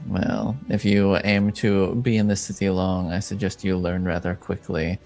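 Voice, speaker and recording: Man playing a low-voiced, coolly courteous duke. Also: flat delivery, darkish tone, faint music behind. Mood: cool courtesy. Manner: formal, measured, clipped courtesy. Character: stately male duke, courteous but coolly stern.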